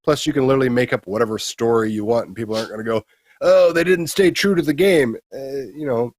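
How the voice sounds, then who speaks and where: A man's voice: mid-range voice, no echo. A man co-hosting a gaming news show, chatting casually with blunt, sarcastic opinions. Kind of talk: casual chat and opinionated commentary.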